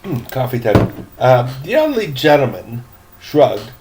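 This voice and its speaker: A man's voice: mid-range voice, very animated, measured pace, noisy background. An older man, a grandfather, reading a storybook aloud in a homemade style with casual asides.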